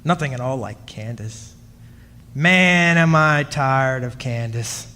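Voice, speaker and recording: A man's voice: mid-range voice, slow, pushed and bright. A man playing a henpecked, weary history professor in an old-time radio drama, confiding hesitantly and stammering when flustered. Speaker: actor in a live radio play. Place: club hall stage. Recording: reverberant hall, music behind.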